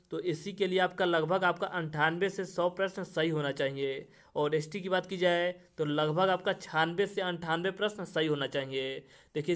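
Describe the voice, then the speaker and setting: A man with a notably high-pitched voice talks to his viewers as a coaching teacher. He explains things informally and leans on repeated stock phrases, addressing the listener directly again and again. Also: a bit bright. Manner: repetitive, formulaic phrasing with frequent direct address. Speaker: exam-coaching teacher and channel host.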